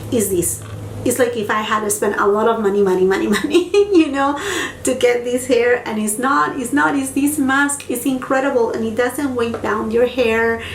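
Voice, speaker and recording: A woman's voice: a little high, distant mic. Lady gushing bout products, echoey room w faint bg hum. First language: Spanish. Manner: enthusiastic, gushing, repeats words for emphasis. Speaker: beauty vlogger. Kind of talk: casual product review.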